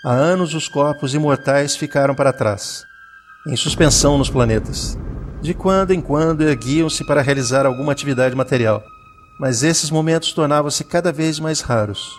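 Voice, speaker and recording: A man with a Brazilian accent narrating a scripted science fiction audio drama. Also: fairly high pitch.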